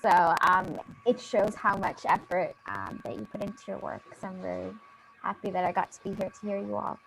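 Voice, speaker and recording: A woman's voice: medium pitch, expressive, fairly quick, creaky, fairly bright tone, faint music behind, rough recording. A woman doctor speaking on a panel, gracious, with some fillers. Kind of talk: panel discussion remarks.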